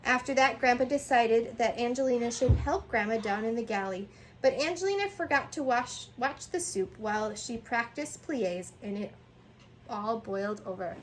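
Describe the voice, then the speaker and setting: A woman reading a children's picture book aloud in an animated way, sometimes stumbling and rereading words. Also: a little high, moderate pace, slight background noise, low-quality recording.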